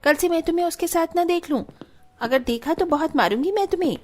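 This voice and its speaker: Woman acting a rich cartoon mom, high voice, haughty and lazy. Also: expressive, a little fast. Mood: scornful scolding.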